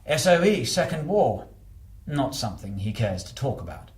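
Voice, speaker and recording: A man's voice: mid-range voice, very animated, moderate pace, a little mellow, reverberant, lightly acted. A man voicing a genial but steely colonel, courteous and clipped, in an audiobook. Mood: dry, reserved.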